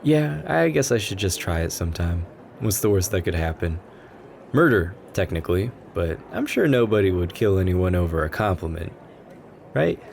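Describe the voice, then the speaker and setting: A man plays a bashful, kind-hearted writer making nervous small talk in an ASMR roleplay. His voice is low and soft, with an earnest, rambling delivery. Noticeable coffee shop ambience sits behind him. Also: very animated, normal speed, vocal fry, slight background noise, heavily acted. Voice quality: gentle, soft-spoken. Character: shy, sweet, nervous writer chatting up a café stranger.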